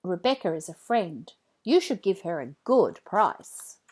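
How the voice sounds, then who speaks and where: A woman's voice: on the low side, big pitch swings, unhurried, a little mellow, husky, full character voice. A woman voicing a bold, chirpy young girl in a children's storybook, with emphatic, exclaiming dialogue. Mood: insistent.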